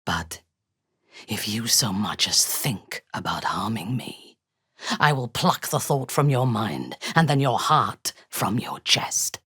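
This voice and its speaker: Imperious female dark elf warlord for a fantasy game: slow, husky delivery, coldly menacing, ruthless and formal. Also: very deep voice, sing-song, dry recording, studio quality, heavily acted. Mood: cold menace. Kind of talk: video game character dialogue.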